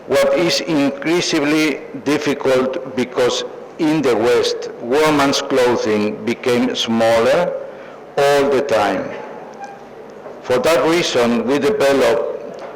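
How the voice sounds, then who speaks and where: Slow, formal man with a Mexican accent. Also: mid-range voice. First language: Spanish. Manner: formal, measured. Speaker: award recipient, plastic surgeon. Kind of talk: prepared acceptance speech. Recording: noisy live event recording.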